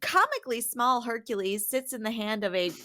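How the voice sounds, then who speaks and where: A woman's voice: on the high side, very animated, brisk, pushed and bright. A woman co-hosting a podcast, giving a casual, conversational recap in an informal, wryly amused way. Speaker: podcast co-host.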